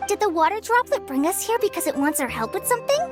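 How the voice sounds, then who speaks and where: A woman's voice: extremely high pitch, very animated, fast talker. A woman playing a small, kind and eager little girl in a video game, with a sweet, childlike voice. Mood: curious, innocent. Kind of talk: video game cutscene voice acting. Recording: music behind the voice.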